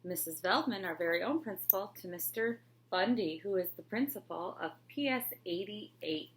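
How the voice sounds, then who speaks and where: A woman teacher reading a children's picture book aloud with a clear, expressive storybook delivery. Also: medium pitch, moderate pace, poor audio.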